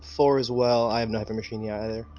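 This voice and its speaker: A man's voice: on the low side, steady tone, fast, highs cut off. A man playing a tabletop roleplaying game, talking casually with hesitant phrasing.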